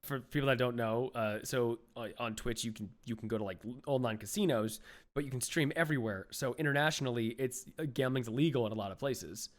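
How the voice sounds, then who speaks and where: A young adult man hosting a podcast, chatting loosely, with lots of restarts and fillers and his voice often trailing off quietly. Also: medium pitch, quick pace. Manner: rambling, many restarts and "like", drops to quiet mumbles. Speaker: podcast host. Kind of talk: casual interview chat.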